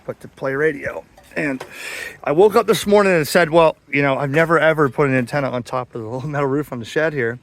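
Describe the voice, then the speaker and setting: A man's voice: medium pitch, a little hiss or hum. An American man chatting plainly and informally outdoors, switching between casual talk and amateur radio calls. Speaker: radio hobbyist making videos. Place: backyard by a metal shed, outdoors.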